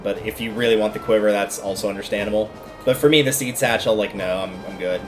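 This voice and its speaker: A man's voice: on the low side, steady tone, fast, soft background music. A man giving casual, rambling livestream commentary on a video game, often stopping to explain things.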